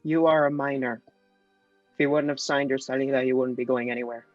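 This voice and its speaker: A man's voice: medium pitch, steady tone, normal speed, no room sound, lightly acted. A man reading the part of a hostile male airport official who interrogates and mocks a boy, in a play table read. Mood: mocking. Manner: sneering, interrogating. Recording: dry, dull lo-fi audio with faint music.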